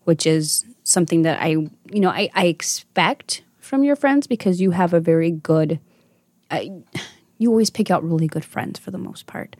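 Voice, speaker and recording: A woman's voice: lowish voice, animated, moderate pace, a little mellow, no echo. A woman in her thirties hosting a casual chat with her daughter, affectionate and motherly, laughing often. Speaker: podcast host and mother.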